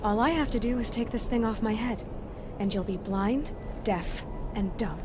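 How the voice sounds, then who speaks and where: An actress playing a determined, defiant young huntress in a video game, speaking directly and insistently, full of questions. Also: female voice, a little high, moderate pace, background noise, lightly acted. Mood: defiant, demanding. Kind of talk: video game cutscene dialogue.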